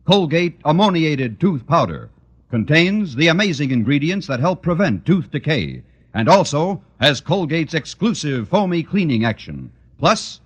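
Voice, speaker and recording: An American man reading a scripted 1940s radio commercial as announcer. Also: a little high.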